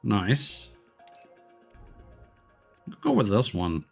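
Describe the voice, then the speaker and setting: A man's voice: lowish voice, huge pitch swings, drawn-out words, vocal fry, slightly rough. A man giving laid-back, noncommittal commentary while livestreaming a video game. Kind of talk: casual gameplay commentary. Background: game music.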